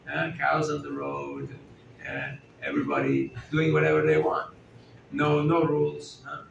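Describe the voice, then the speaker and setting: A man's voice: a little high, creaky, a bit bright. A man giving a chatty, conversational sermon to a live audience, often asking them questions and joking. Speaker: spiritual teacher giving a talk. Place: indoor hall at a festival gathering. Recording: echoey hall, distant mic, faint room noise.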